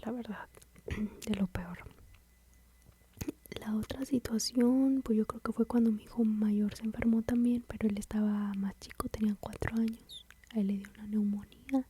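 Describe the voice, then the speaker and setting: A woman with a Mexican accent whispering softly as she tells a personal story about motherhood, in a confiding, rambling way. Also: a little high, fairly even intonation, moderate pace, a little hiss or hum. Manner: soft, confiding, rambling with fillers.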